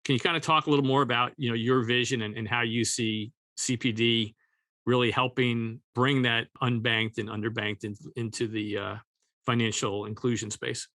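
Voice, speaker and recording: A man's voice: mid-range voice, fairly even intonation, normal speed, sharp tone, dry recording, studio quality. A man hosting a business podcast, asking conversational interview questions.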